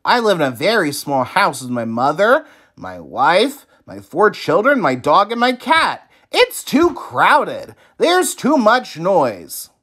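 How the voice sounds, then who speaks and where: Storybook villager, played by a man: overwhelmed, pleading, raised pitch, drawn-out complaints. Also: huge pitch swings, bright, forceful tone, slightly rough, full character voice. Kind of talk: children's storybook character voice.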